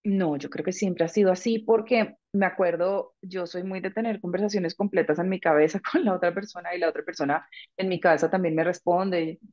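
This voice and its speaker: A middle-aged woman, a guest, speaking in a thoughtful, frank and measured way during a conversational interview. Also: on the low side, darkish tone, dull audio. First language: Spanish.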